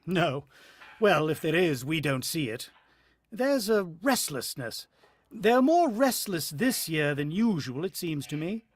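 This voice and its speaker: Man acting a brooding husband, higher-pitched voice. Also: sing-song, no echo, full character voice. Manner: musing, dramatic, halting pauses. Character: brooding, uneasy husband and father narrating a bird attack. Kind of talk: vintage radio drama acting.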